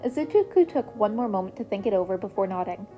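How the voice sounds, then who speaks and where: A woman's voice: medium pitch, expressive, fast, warm tone, faint music behind. A woman narrating a scripted story expressively, as a reader of fan fiction. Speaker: podfic narrator.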